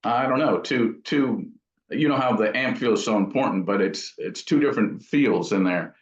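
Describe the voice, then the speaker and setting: A man talking casually as an interviewed amp builder, explaining technical details informally in a thoughtful, halting way. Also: medium pitch. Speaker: amp builder and company founder being interviewed. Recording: dull lo-fi sound in an echoey room.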